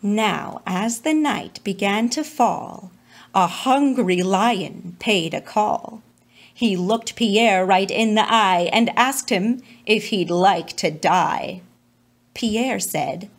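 A woman's voice: mid-range voice, slow pace. A woman reading a children's storybook aloud as its narrator. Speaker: storybook reader.

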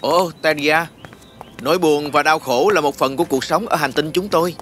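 A man playing a kind, patient human guide in dubbed fantasy film dialogue, explaining things gently. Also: fairly high pitch, normal speed, close to an everyday voice. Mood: reflective.

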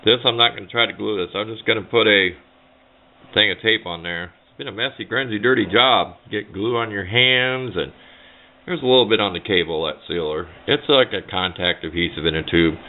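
A man's voice: fairly low pitch, fairly quick. A man giving a casual, unscripted how-to at a workbench, talking in a plain, rambling, folksy way. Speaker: hobbyist demonstrating a homemade build. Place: indoors at a workbench. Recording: muffled, narrow phone-like camera audio.

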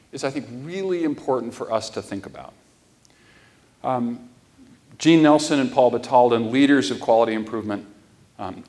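A middle-aged man lectures from a large conference stage, through a lapel mic. His voice sits in an ordinary male range. He explains steadily and earnestly, stressing the points that matter. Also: mid-range voice. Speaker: keynote speaker.

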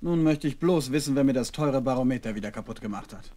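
A man's voice: mid-range voice, fast talker, dark tone, close to an everyday voice. An actor playing a stern but fond father, a doctor, with an authoritative manner in a children's radio play. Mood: annoyed. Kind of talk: 1970s German children's radio play acting.

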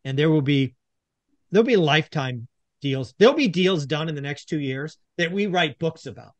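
Middle-aged male host, rallying and earnest, slightly high voice, dry sound. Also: animated, normal speed, no room sound. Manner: earnest, rallying, addresses viewers as folks. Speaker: podcast host. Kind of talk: casual investing talk. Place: home studio with shelves, indoors.

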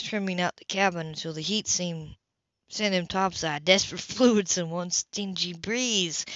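Bright-voiced amateur reader, dull recording. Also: mid-range voice, dry recording. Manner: stumbles and rereads words. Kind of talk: reading a romance novel aloud.

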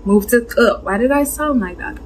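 A woman's voice: on the high side, moderate pace. Young woman, Caribbean accent, bold opinionated vlogger, bedroom to camera, slight background hiss. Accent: Caribbean English. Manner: outspoken, animated, peppered with "like". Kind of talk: casual opinion vlog. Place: small bedroom, indoors.

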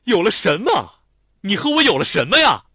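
A man voicing a hot-tempered young male student who talks in a sharp, raised, angry way for an audiobook. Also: deep voice, big pitch swings, moderate pace, breathy edge, dry recording, studio quality, full character voice. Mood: furious. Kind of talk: audiobook character voice.

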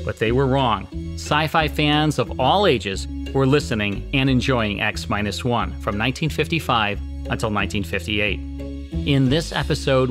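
A man's voice: mid-range voice, fairly bright tone. A man in his fifties hosting a podcast from a small studio set, giving a scripted introduction. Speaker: podcast host. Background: clear music.

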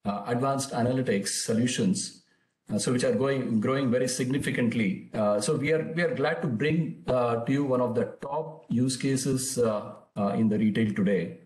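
Brief: man, webinar moderator on a video call, halting with lots of fillers, roomy echo. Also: medium pitch, a little mellow. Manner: hesitant, many "uh" fillers. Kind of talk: webinar introduction. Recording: echoey room over a call.

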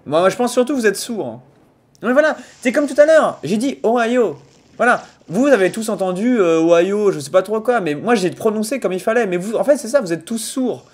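A man's voice: high voice, a little fast. A young man with an accent from the Sarthe, streaming a video game from a small room at home and chatting to viewers on a headset mic, mixing mock-outraged rants with laughter. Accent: Sarthe French. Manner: mock-outraged ranting, laughing often, bursts of loud excitement. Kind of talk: casual livestream chat while playing a video game.

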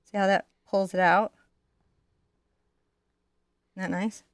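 A woman's voice: mid-range voice, flat delivery, slow pace, dry recording. Chatty woman with a dry, close sound. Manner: chatty, digressive, informal. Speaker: host teaching a live painting class. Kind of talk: casual chat and painting demonstration. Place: indoor art studio.

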